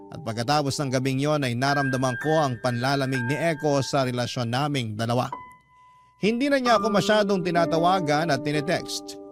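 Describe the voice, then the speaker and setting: A man reading a first-person love letter as a dramatic radio storyteller. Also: fairly high pitch. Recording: prominent background music under narration. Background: loud instrumental music bed.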